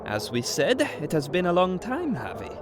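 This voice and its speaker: A man's voice: sits high, huge pitch swings, normal speed, crowd noise. A man playing a sly, teasing trickster god in an audio drama, speaking with mock courtesy and formal, mischievous phrasing. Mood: smooth, courtly.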